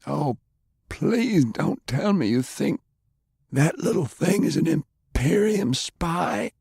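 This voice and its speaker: Man acting out a gravelly, strained old war leader. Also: a little high, expressive, takes it slowly, a little mellow, no echo, studio quality, voice pushed up, exaggerated voice. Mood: scoffing. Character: Pershing, ailing old male war leader, stern and ruthless. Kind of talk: audiobook character acting.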